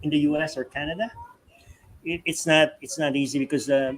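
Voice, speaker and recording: A Filipino man speaks in a fairly high male voice with a Filipino accent. He is a guest telling personal stories in an easy conversational way, chuckling often and acting out what people said. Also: a little fast. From Philippines. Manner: frequent chuckling, animated retelling with acted-out quotes. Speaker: interview guest, preacher.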